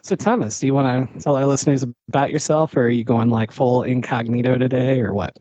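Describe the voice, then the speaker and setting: A man's voice: mid-range voice, fast, mellow, dry recording, rough recording. A man co-hosting a podcast, reading ads and teasing his guests in a playful way. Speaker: podcast co-host. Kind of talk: casual podcast chat and ad reads.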